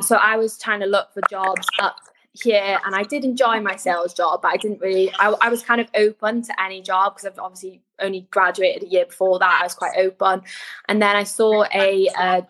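A woman's voice: mid-range voice, fairly bright tone, a little hiss or hum, poor audio. A Welsh woman in her twenties, chatting as a guest on a remote interview, rambling with plenty of fillers. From Wales. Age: 20s. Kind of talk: casual interview chat.

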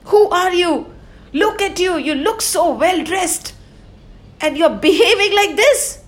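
A righteous king shouts down a cruel man, high and forceful, as a woman storyteller puts him on. Her voice stays bright and loud with indignation. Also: unhurried. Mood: indignant. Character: good young king, commanding and indignant.

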